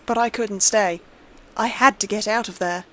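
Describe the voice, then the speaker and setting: A woman's voice: medium pitch, moderate pace, low-quality recording. A woman reading a scripted horror story aloud as its narrator.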